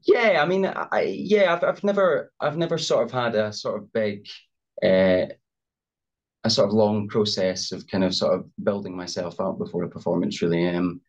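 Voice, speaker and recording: Deep-voiced hesitant Scottish man. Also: fairly even intonation, moderate pace. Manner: hesitant, hedging with many fillers and restarts. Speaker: actor guest. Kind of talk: casual interview over video call. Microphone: video call. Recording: dull lo-fi video call audio.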